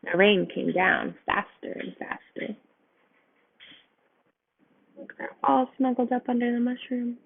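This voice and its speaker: A woman's voice: fairly high pitch, slow pace, gravelly, long pauses, slightly rough. A woman reading a picture book aloud to children, speaking simply and putting questions to her young listeners. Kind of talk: read-aloud storybook narration. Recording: phone-like narrow sound.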